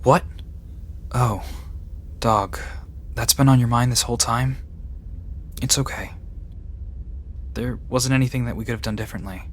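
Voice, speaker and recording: A man's voice: mid-range voice, moderate pace, long pauses, natural voice. Actor as a kind, hesitant male vet tech. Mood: kindly, reassuring. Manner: hesitant, reassuring. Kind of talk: audio drama acting. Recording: faint background noise and ambience.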